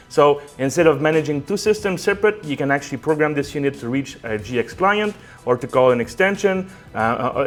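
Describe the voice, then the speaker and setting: A man giving a casual product demonstration as a technical support director, conversational, with many "um"s and frequent checking "okay?"s. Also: on the high side. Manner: fillers "um" and "uh" between phrases.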